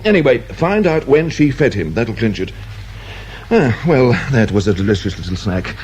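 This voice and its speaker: An actor playing a genial, unflappable and self-satisfied pathologist with a British accent, breezy and avuncular in radio drama style. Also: male voice, medium pitch, sing-song, moderate pace, a little mellow, close to an everyday voice, low-quality recording. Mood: smug, brisk. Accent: British English. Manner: breezy, avuncular, matter-of-fact. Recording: hissy old radio drama recording.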